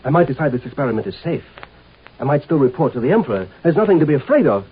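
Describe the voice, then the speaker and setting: A scornful, domineering military commander in a vintage science fiction radio play. His fairly high voice swings widely as he blusters. The old recording is thin and tinny. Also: sing-song, rapid-fire, breathy edge, full character voice. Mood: pleading. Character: gruff, scornful galactic Grand Marshal, self-made career soldier. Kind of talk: 1950s radio drama acting. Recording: tinny, band-limited old radio recording, faint music.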